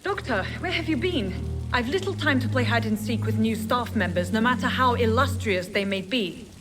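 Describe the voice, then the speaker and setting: An actress playing a brisk, no-nonsense hospital nurse in a period video game, with a formal, pointed way of talking. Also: female voice, fairly high pitch, lively intonation, lightly acted. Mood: brisk, stern. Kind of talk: period drama game voice acting. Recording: game music behind the voice.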